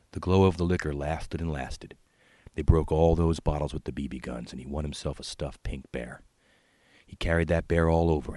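A man's voice: extremely low pitch, darkish tone, breathy edge, dry recording. A man reading his own literary short story aloud in a folksy storytelling manner.

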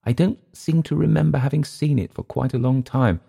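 A man voicing a bitter, dissolute Englishman with an English accent, sneering and halting by turns. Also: mid-range voice, steady tone, gravelly, mellow, husky, pitched above the performer's own voice. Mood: uneasy.

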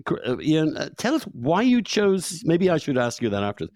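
A man's voice: fairly high pitch, sing-song, moderate pace, a little mellow, dry recording, clean studio sound. A man hosting a podcast, introducing his guest and asking eager, interrupting follow-up questions. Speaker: podcast host. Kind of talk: conversational interview.

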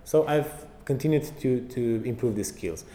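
Animated man in a roomy hall. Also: medium pitch, normal speed. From Romania. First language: Romanian and Hungarian. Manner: animated storyteller, acts out quotes, many fillers. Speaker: guest, passive house trainer. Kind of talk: casual interview chat. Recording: roomy reverberant hall, faint background noise.

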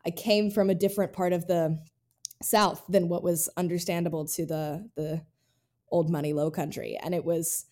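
Warm-voiced woman hosting a podcast. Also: on the low side, fairly even intonation, normal speed. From North Carolina. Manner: earnest, reflective storytelling. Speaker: podcast host. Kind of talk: casual interview chat.

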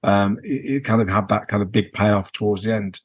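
Lowish-voiced man in casual conversation. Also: little pitch movement, fairly quick, husky. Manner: tentative, repeats words as he thinks. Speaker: podcast host. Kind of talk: casual unscripted chat.

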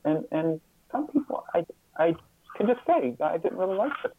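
A man being interviewed as a guest, speaking reflectively and haltingly, often repeating words and restarting his sentences. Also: sits high, big pitch swings, dry recording, phone-quality sound.

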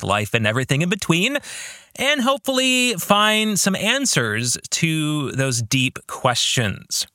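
American male podcast host, middle-aged. Slightly high, bright voice, earnest scripted read with emphatic phrasing. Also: dry recording, studio quality. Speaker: podcast host, author and former pastor.

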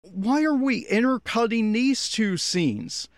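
A man's voice: very high voice, animated, slow pace, no echo, clean studio sound. A man giving blunt, exasperated commentary as he reacts critically to an anime episode. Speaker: podcast host giving audio commentary.

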